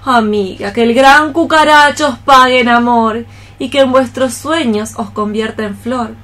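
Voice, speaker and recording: A woman's voice: on the high side, unhurried, a bit bright. A woman playing a very old, lame, practical cockroach neighbour in radio theatre, exclaiming theatrically in rhymed verse. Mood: brisk, coaxing.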